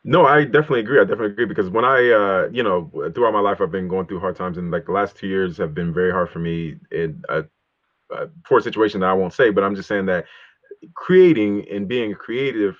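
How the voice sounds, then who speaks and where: A man's voice: on the low side, fast talker, no echo, lo-fi sound. A man hosting a casual interview, talking eagerly in a slangy, friendly way. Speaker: interview host.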